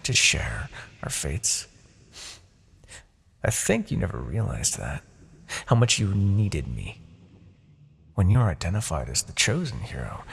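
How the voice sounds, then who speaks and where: A man playing a smug, seductive, possessive Demon King in a fantasy ASMR roleplay, with a gravelly, breathy voice and theatrical, taunting delivery. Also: sits low, exaggerated voice. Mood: taunting, intimate, then wounded, proud. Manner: theatrical, taunting, drawn-out phrasing with soft asides.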